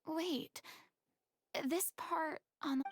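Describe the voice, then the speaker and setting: A woman's voice: high voice, drawn-out words, slight background noise. A woman playing an earnest, compassionate young investigator in a video game, speaking thoughtfully. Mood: somber.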